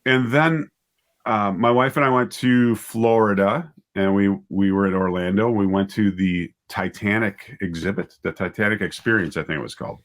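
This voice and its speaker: A man in his fifties telling stories as an interview guest, conversational and halting, often repeating words and correcting himself. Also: on the low side. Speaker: writer and director, interview guest.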